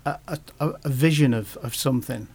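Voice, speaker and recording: Man, gravelly, mid pitch, halting, restarts a lot, casual chat. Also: a little mellow. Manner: halting, many restarts and fillers. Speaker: guest. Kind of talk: casual podcast conversation.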